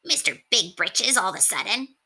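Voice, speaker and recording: A woman's voice: mid-range voice, big pitch swings, moderate pace, pushed and bright, slightly rough, pitched above the performer's own voice, heavily acted. A woman voicing a brash, wisecracking male rat in a storybook, with folksy, streetwise phrasing. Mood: mocking.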